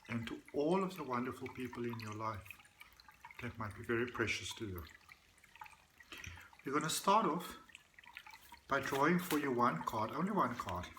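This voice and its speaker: A man leading a guided meditation, speaking to the listener in a deliberate, soothing way with repeated phrases. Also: mid-range voice, noisy background. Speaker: guided meditation practitioner and host.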